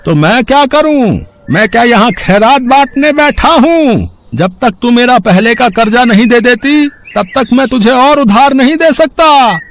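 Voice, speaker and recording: A man's voice: very high voice, lively intonation, a little slow, pitched above the performer's own voice, full character voice. A man voicing a stingy, scornful village grocer who scolds dismissively in a moral story cartoon.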